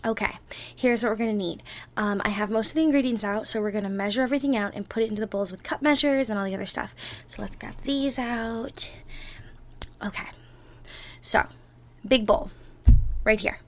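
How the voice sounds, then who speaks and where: A woman's voice: mid-range voice, fairly quick, pauses often, close to an everyday voice. A woman playing an affectionate, playfully bossy girlfriend in a one-sided ASMR roleplay, chatting and thinking aloud as she bakes. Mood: focused, soft. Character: bubbly, bossy, affectionate young girlfriend baking for Thanksgiving. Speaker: roleplay audio performer.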